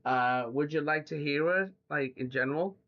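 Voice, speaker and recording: A man's voice: fairly high pitch, steady tone, normal speed. A young man hosting a podcast, chatting casually and rambling with lots of fillers. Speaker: podcast host. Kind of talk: casual chat. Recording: dull lo-fi audio.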